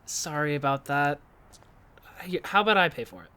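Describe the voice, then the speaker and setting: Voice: medium pitch, expressive, normal speed, bright, forceful tone, clear, resonant tone. An actor in a fiction podcast playing an awkward, evasive small-town local who is hesitant but eager to help. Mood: nervous, helpful.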